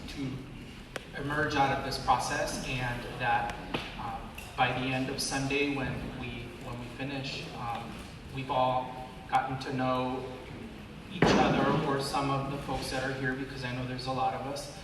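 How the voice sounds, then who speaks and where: A man's voice: mid-range voice, level tone, unhurried. A man from Mexico making announcements to an audience, hesitant and full of fillers, a bit nervous. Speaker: event organizer. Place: large indoor event hall. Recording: echoey hall, mic far off, room noise.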